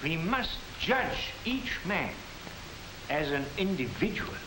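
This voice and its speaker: Casting: deliberate, didactic professor, higher-set animated voice, defiant dignity, vintage 1940s film sound. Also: male voice, echoey room, noisy background. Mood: firm, defiant. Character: dignified, defiant German professor lecturing his students. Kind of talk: 1940s film acting, classroom lecture.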